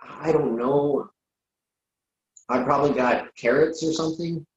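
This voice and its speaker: A man's voice: on the high side, fairly even intonation, unhurried, natural voice. A man reading the part of a reasonable, exasperated friend in a late-night comic dialogue, hesitant and stammering in disbelief. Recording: roomy, dull lo-fi sound.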